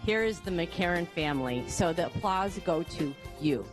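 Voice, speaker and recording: A woman giving a heartfelt thank-you speech to staff at a gathering, slightly halting in places. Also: fairly low pitch, lively intonation, music in the background. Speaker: airport director giving a speech.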